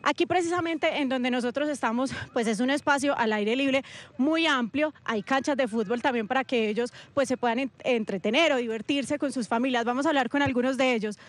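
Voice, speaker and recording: A woman field reporter speaking on location in a large open-air camp, explaining the situation and then gently questioning people. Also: high-pitched, fairly quick. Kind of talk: on-location news report and street interviews.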